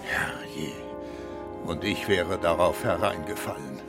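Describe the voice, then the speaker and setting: A man's voice: low voice, slow, over loud music. A man playing an authoritative, impatient senior police official in a German radio drama, with a commanding, emphatic delivery. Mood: surprised, dawning realisation.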